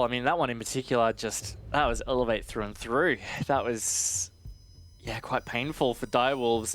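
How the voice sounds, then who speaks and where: A man's voice: on the low side, fairly bright tone. A man giving conversational, analytical live commentary on an esports match, explaining things in a chatty way. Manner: chatty, explanatory, checks in with "right?".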